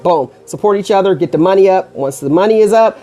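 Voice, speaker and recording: A middle-aged American woman hosting a casual online show, chatting to viewers in an animated, colloquial way with frequent asides. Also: medium pitch, fast, faint music behind. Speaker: online radio show host. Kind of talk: casual livestream talk to viewers.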